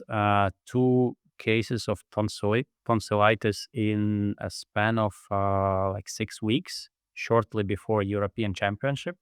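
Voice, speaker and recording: A man from Latvia speaking reflectively as an interview guest, halting now and then as he searches for words. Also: lowish voice, clean tone, no room sound, clean studio sound. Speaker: podcast guest, endurance athlete and heart rate expert.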